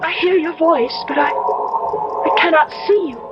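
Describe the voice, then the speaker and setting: A woman's voice: extremely high pitch, unhurried, heavy background noise. A woman acting a sorrowful, entranced young bride with lyrical, yearning delivery in an old-time radio drama. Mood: dreamy, yearning. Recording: muffled old radio recording, loud music behind.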